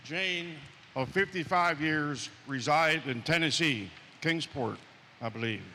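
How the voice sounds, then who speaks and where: A man's voice: on the high side, takes it slowly, bright, forceful tone. A man with a Pennsylvania accent introducing a guest at church, rambling and conversational. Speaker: local ministry member introducing guest. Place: church sanctuary. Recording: reverberant church hall, faint hiss.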